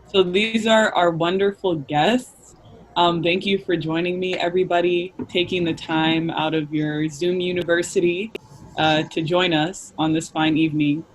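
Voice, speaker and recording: A man's voice: high voice, fairly even intonation, unhurried, slight background noise. A young man with a Midwestern American accent hosting a podcast in a casual, joking manner. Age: young adult. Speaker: podcast host.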